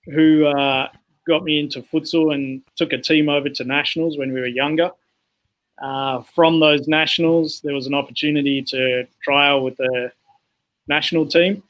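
Bright-voiced man explaining earnestly. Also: fairly high pitch, rough recording. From born in Zambia, raised in England. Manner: earnest, explains with many "you know" fillers. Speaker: guest, founder of a futsal organisation. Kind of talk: podcast interview conversation.

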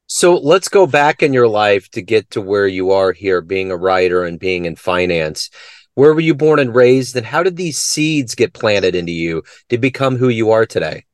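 Male host, lively pitch, curious and upbeat, dry close sound. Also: normal speed, no room sound. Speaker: interview host. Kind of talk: conversational interview.

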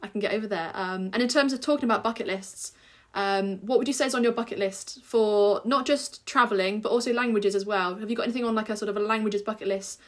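A woman hosting a travel podcast, moving briskly from one point to the next. She keeps her guest talking with encouraging remarks, and she often leans on "you know". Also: mid-range voice. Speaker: podcast host. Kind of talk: interview hosting.

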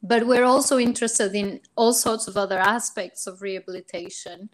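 A woman from Portugal, a research psychologist explaining her work as a guest in a podcast interview, measured and full of ums and rephrasings. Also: medium pitch.

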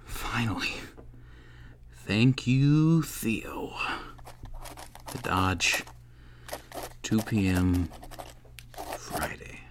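A man's voice: lowish voice, expressive, drawn-out words, long pauses, a little hiss or hum. A man playing a shady, secretive investigator in an audio drama, muttering to himself. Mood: sly, pleased. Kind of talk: naturalistic audio drama acting.

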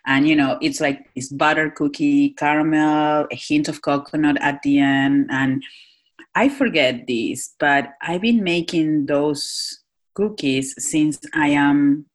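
A woman chef from Northwest Argentina with an Argentine Spanish accent, chatting in an interview with many pauses and fillers. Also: low-pitched, steady tone. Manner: hesitant, many fillers, warm laughs. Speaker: chef and cafe owner. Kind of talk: casual interview chat.

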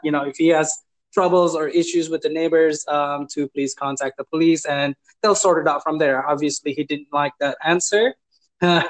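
A man's voice: fairly high pitch, low-quality recording. Hesitant man telling property tales. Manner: hesitant, many fillers and restarts; amused, chuckles while telling. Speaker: guest, property manager. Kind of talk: casual storytelling interview.

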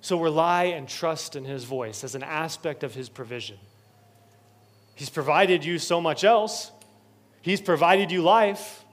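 Male pastor, higher-pitched, preaching with earnest building repetition. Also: unhurried. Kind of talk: sermon. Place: church sanctuary.

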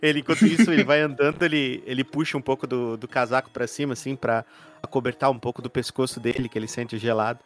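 A man's voice: mid-range voice, steady tone, fast talker. A man improvising narration as a player in a tabletop roleplay game, descriptive and playful, with music behind him.

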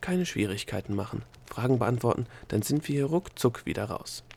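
A nervous storybook gnome, acted out by a male reader, with a gravelly edge. He stammers, hesitates and wheedles like a flustered adviser, often dropping into an urgent hiss. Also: medium pitch, animated, moderate pace, a little mellow. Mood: urgent whisper. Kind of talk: audiobook character voice.

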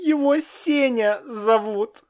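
A man doing a whiny excitable little boy. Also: takes it slowly. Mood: tearful. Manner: high whiny pleading, sobbing. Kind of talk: storybook reading.

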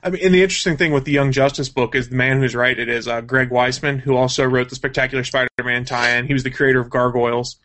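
A man's voice: mid-range voice, fairly even intonation, fast talker, few pauses, a bit bright. Man chatting casually as co-host. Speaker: co-host of a gaming and comics news show. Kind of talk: casual chat about comics and cartoons.

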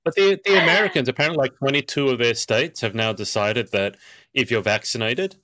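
A man's voice: mid-range voice, brisk, pushed and bright, no echo. A man co-hosting a podcast, musing aloud in casual chat and hedging with "like". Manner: musing, hedges with "like". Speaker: podcast co-host.

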